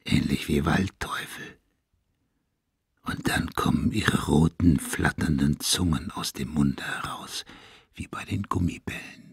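An actor playing a deranged, vengeful madman in a German radio play, hushed and husky, savoring his cruel fantasies word by word. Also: very deep voice, unhurried, no echo, studio quality, exaggerated voice. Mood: rapt, gloating. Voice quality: husky, gravelly, breathy whisper.

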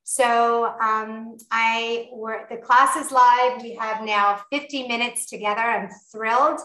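Bright, upbeat Canadian woman. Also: on the high side, fairly even intonation, slow pace. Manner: enthusiastic, welcoming, chatty with fillers. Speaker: chef hosting an online cooking class. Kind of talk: upbeat live online class. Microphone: video call. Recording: echoey room over a video call.

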